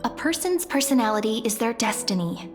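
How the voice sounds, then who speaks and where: A woman performs a young video game heroine with a high voice, speaking over clear background music. She is gentle and hopeful, a devoted companion who encourages earnestly and teases lightly. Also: animated, brisk, close to an everyday voice. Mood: earnest, reassuring. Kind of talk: scripted video game dialogue.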